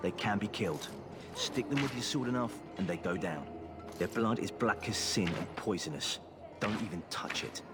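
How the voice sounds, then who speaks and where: A low-voiced, grim army sergeant played by a man addresses his men in a fantasy game. He is blunt and commanding, grave in every word, with loud music playing behind him. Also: moderate pace, lightly acted. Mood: stern, grim. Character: stern male army sergeant instructing soldiers, grim. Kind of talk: video game dialogue.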